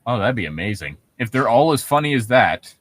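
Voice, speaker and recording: A man's voice: mid-range voice, sing-song, fairly quick, no echo. A young adult man co-hosting in a casual, rambling, joking chat, swearing freely and prone to giggling. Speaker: podcast co-host reading and riffing on horror stories. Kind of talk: casual joking chat with bits of reading aloud.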